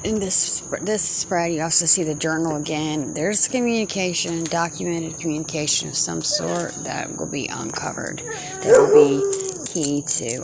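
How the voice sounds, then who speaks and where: A woman with a low, heavily creaky voice talks viewers through a psychic card reading. She muses in halting phrases that often trail off. Clear background noise with cricket-like chirping sits behind her. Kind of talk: improvised tarot-style card reading narration to viewers.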